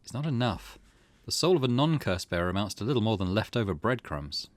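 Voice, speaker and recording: A man's voice: fairly low pitch, big pitch swings, fairly quick, no room sound. A man hosting a let's play, chatting casually, thinking aloud and reading game text out as he goes. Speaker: gaming channel host. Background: game music.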